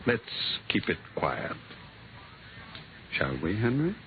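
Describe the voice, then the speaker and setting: Chefe autoritário e cético, rádio antigo. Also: male voice, fairly low pitch, huge pitch swings, slow pace, full character voice. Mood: grave, guarded. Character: skeptical, authoritative middle-aged bureau boss. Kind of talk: 1950s radio drama acting. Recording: narrow-band vintage radio, faint hiss.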